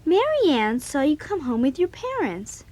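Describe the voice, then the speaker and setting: An outspoken young girl in a children's audio drama. Her high voice swings up and down with blunt, eager chatter, like a club leader telling her own story. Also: very animated, moderate pace, darkish tone. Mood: indignant.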